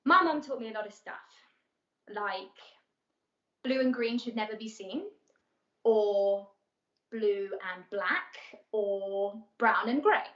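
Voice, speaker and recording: A woman's voice: medium pitch, takes it slowly, long pauses, fairly bright tone. A woman playing a wry daughter recalling her mother in a conversational, dry contemporary stage monologue. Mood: wry reminiscing. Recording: roomy, dull livestream audio.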